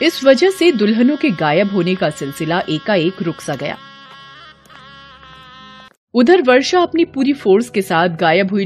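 A woman giving scripted narration for a horror story. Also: medium pitch.

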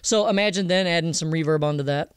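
A man giving a casual software tutorial, explaining informally and directly to viewers. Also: fairly high pitch, fast talker, smooth. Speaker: audio mixing instructor. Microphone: close voice-over mic over screen recording.

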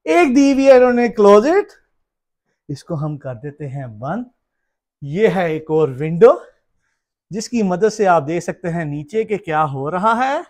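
A high-voiced man in his thirties hosts a casual travel vlog. He talks like a showman walking viewers through a place, animated and quick to exclaim. The delivery is informal and addressed straight to the audience. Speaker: travel vlogger. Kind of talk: casual vlog tour narration. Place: outdoors on a wooded road, then inside a cottage.

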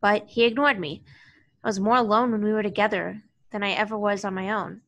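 A woman's voice: medium pitch, fairly even intonation, brisk, a bit bright, lightly acted. A woman reading the role of a guarded, weary young love interest at an amateur table read, understated and wry. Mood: regretful. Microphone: video call.

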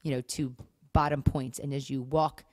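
A woman with a low voice gives conversational relationship advice as a podcast co-host. She is earnest and emphatic, circling back to key phrases. The sound is dry and close. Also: level tone, moderate pace, darkish tone, no room sound.

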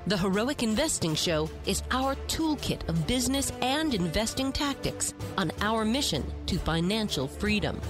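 A woman announces a podcast intro in a dramatic, emphatic style, her pitch swinging widely. Music plays clearly beneath her voice. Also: sing-song, moderate pace, hardly any pauses. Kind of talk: scripted promo intro.

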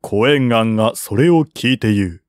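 A man's voice: medium pitch, normal speed. A man narrating a historical novel in a formal, scripted audiobook style.

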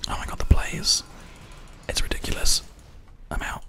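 A person whispering ASMR commentary over video gameplay, close to a binaural microphone. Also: moderate pace. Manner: whispering throughout. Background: keyboard typing and mouse clicks.

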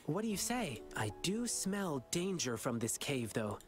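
A man's voice: on the high side, sing-song, a little slow. A man playing a kind, earnest youthful adventurer in a video game, speaking carefully. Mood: thoughtful. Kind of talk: video game dialogue acting. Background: game music.

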